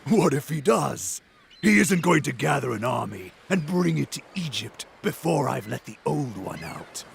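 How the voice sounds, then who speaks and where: An actor playing a menacing, scheming cyclops villain in a video game cutscene, with slow, pompous, contemptuous delivery. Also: male voice, on the high side, big pitch swings, creaky, slight background noise, full character voice. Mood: scornful scheming. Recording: game audio with music underneath.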